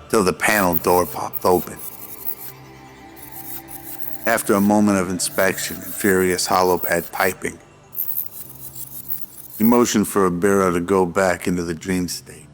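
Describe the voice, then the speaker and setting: Deep, even-toned male narrator. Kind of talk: scripted audio drama narration.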